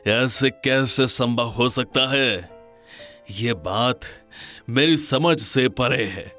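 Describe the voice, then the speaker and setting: A man playing an earnest, authoritative Indian king in a cartoon folk tale, speaking formally and deliberately. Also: medium pitch, sharp tone, soft background music. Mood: worried. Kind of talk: dramatised cartoon storybook dialogue.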